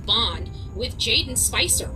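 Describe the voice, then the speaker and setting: A woman's voice: on the high side, expressive, unhurried, pushed and bright, faint music behind. A woman giving unscripted true crime commentary in a dramatic way, repeating phrases for emphasis.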